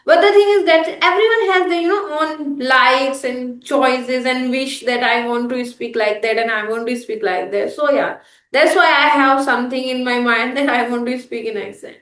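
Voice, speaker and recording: A woman's voice: high-pitched, moderate pace, fairly bright tone, reverberant, far from the mic. A young woman with an Indian accent chatting casually in English, hesitant with many fillers and restarts. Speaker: host, English learner. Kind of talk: casual practice conversation.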